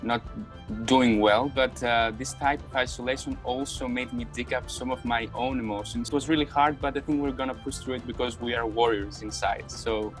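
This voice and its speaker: A man's voice: medium pitch, steady tone, normal speed, faint music behind. A man of nineteen speaks conversationally about himself as a student on a panel. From Greece. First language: Greek. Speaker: physiotherapy student, panel participant. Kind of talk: conversational self-introduction and reflection.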